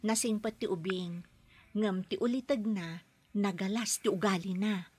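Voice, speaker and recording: A woman's voice: medium pitch, a little slow, a little mellow, close to an everyday voice. An actress playing a young woman working as a nanny abroad, firm and defiant toward her boss, in a radio drama. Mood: confiding. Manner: firm, pushes back politely.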